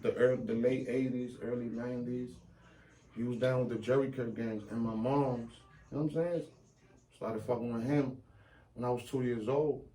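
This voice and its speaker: Mellow-voiced New guy in a chat. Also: medium pitch, steady tone, normal speed, poor audio. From New York. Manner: street slang, mixing in Spanish phrases. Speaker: guest rapper. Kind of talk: casual interview chat.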